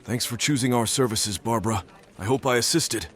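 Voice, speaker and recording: A man playing a polite, formal male stalker in an audio drama, speaking in a measured way. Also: medium pitch, lightly acted. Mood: polite, instructive. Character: male stalker posing as polite tech support worker, narrating calmly. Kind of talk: scripted drama narration and dialogue.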